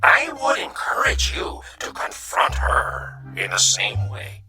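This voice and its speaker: Gentle alien, sharp gravelly voice, wildly swooping pitch, formal halting delivery, radio drama. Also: male voice, huge pitch swings, in a whisper, soft background music, heavily acted. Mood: encouraging. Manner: slow, formal, halting speech. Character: gentle, courteous, encouraging alien visitor from another dimension.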